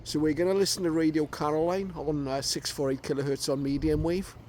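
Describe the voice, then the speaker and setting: A man with a slightly high voice talks through a hands-on demonstration in an informal, halting way. He explains step by step like a hobbyist. The phone recording has plenty of noise and interference behind him. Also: fairly even intonation, a little mellow. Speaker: amateur radio hobbyist presenting a demonstration.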